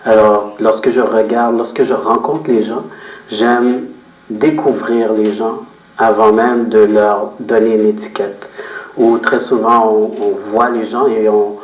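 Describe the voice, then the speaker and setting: A man's voice: on the low side, flat delivery, unhurried. Reflective man in a roomy, distant recording. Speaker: interview guest, photographer and business owner. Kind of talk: interview answers, explaining personal philosophy. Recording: roomy echo, distant microphone.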